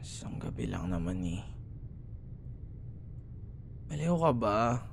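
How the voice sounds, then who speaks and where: Creaky-voiced Filipino school rival, acted by a man, grumbling. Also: on the low side, very animated, takes it slowly, a little hiss or hum, heavily acted. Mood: sleepy, irritated. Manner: sarcastic, casual bickering with sighs and murmured asides. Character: grumbling, teasing young male school rival, secretly caring. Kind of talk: ASMR boyfriend roleplay.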